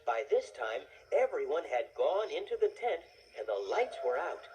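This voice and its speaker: A woman reading a scripted children's story as narrator, in an expressive storytelling manner. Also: deep voice, moderate pace, soft background music, rough recording.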